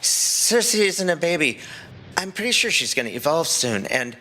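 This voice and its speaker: A man's voice: a little high, sing-song, normal speed, sharp tone, heavily acted. A man playing a sheepish, reassuring, reckless boy trainer in an acted fan fiction reading, speaking casually.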